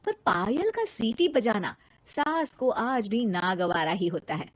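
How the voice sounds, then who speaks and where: A woman narrating a cartoon story and voicing a cheeky young heroine, in a lively way. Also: mid-range voice, a little slow, no room sound. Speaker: narrator and lead voice.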